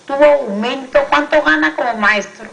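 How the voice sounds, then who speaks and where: Hoarse, creaky woman interviewer. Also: high-pitched, normal speed, a bit bright, highs cut off. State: hoarse from sinusitis. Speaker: interviewer, host. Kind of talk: interview questioning.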